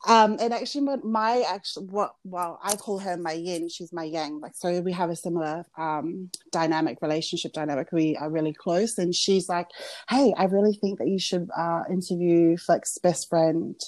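A woman with a lowish, voice hosts a relaxed podcast, talking in a chatty, rambling way full of "um"s and restarts. The sound is dry and close to the mic. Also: normal speed, dry recording. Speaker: podcast host. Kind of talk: casual podcast interview.

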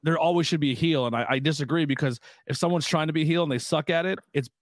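A man's voice: a little high, quick pace. A man hosting a casual podcast interview, telling stories in an easygoing way. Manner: easygoing, storytelling, laughs between points. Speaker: podcast host and wrestling color commentator.

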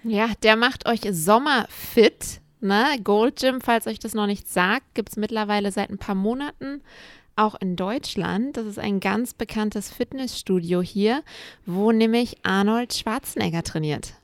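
A woman co-hosting a podcast from home, talking in a relaxed, conversational way with quick asides. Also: mid-range voice, dry recording, clean studio sound. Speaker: podcast co-host. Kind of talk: casual chat. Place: home in a city neighborhood.

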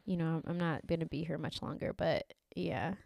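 A young woman co-hosting a podcast in casual chat, a little congested from allergies, chatty and quick to laugh. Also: low voice, fairly even intonation, gravelly, a little mellow, dry recording. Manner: chatty, laughs often, lots of fillers. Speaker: podcast co-host.

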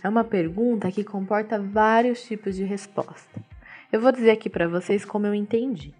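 A woman giving interview answers in a measured, steady, explanatory way, voicing them in translation. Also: mid-range voice, faint music behind.

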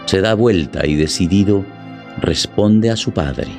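A man's voice: low-pitched, a little slow, darkish tone. Male narrator with faint music. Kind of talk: scripted dramatic narration.

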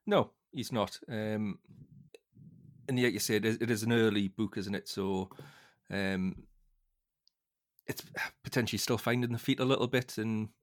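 Man, lowish voice, podcast host, casual discussion, halting delivery with repeated words. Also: steady tone.

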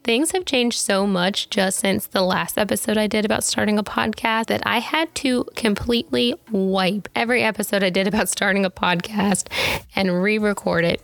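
A woman hosting her own podcast, chatting to listeners with bubbly enthusiasm, her voice a little raspy from a sore throat. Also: mid-range voice, normal speed, no room sound. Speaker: podcast host. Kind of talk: casual solo podcast talk.

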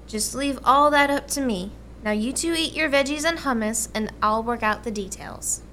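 A woman playing a brisk, patient American mother in a radio play, gently coaxing in a motherly way. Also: fairly high pitch, lightly acted.

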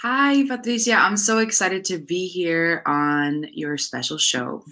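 A young woman from New Jersey speaks as an interview guest. Her voice is notably low for a woman. She tells her story in an articulate, self-assured manner. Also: unhurried, bright, forceful tone. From New Jersey, United States. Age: young adult. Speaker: guest, digital strategist. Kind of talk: interview answers.

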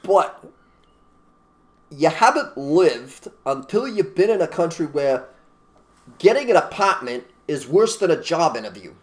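Emphatic ranting male co-host, slightly higher voice, opinionated casual banter. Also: very animated, unhurried, pauses often. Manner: ranting, emphatic, mocks others in put-on voices. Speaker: podcast co-host.

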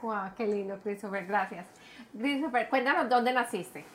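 A woman hosting a casual interview show, enthusiastic and quick to react, with many interjections of "wow" and "qué lindo". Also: mid-range voice, fairly bright tone. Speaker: host.

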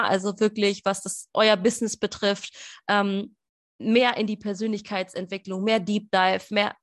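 A woman co-hosting a podcast as a business coach, chatting casually and enthusiastically, with many fillers. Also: mid-range voice. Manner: enthusiastic, gushing, lots of fillers.

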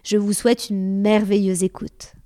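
A woman's voice: medium pitch, level tone, moderate pace, mellow. A woman hosting a podcast, reading a scripted introduction and then asking friendly, encouraging questions. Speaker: podcast host.

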